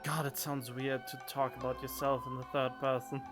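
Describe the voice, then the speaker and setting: A woman's voice: rumbling low, level tone, moderate pace. A woman giving casual, playful playthrough commentary as a game streamer, full of joking asides. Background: game music.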